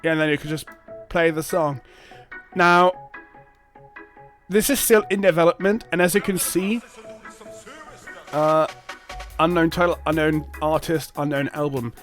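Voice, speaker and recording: Man casually talking through a software demo. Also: fairly high pitch, measured pace, long pauses, faint music behind. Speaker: host of a Linux software video channel. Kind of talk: casual unscripted screen-recorded software demo.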